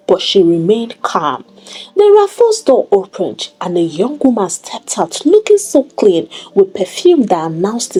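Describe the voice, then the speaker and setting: A woman narrating a dramatic scripted story in an expressive, deliberate storytelling manner. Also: mid-range voice, breathy edge, low-quality recording.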